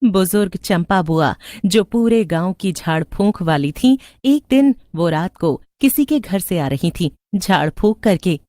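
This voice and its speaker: Slow, dry-recorded woman narrating horror. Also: on the low side, lively intonation, warm tone, no room sound. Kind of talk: scripted horror story narration.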